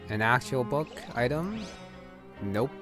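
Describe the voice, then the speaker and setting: A man's voice: mid-range voice, sing-song, slow pace. A man giving casual, offhand gameplay commentary, thinking aloud and trailing off. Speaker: gamer playing and commenting. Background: game music.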